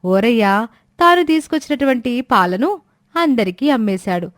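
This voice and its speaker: A woman's voice: fairly high pitch, sing-song, moderate pace, dry recording, studio quality. A woman storyteller reading scripted narration of a moral fairy tale.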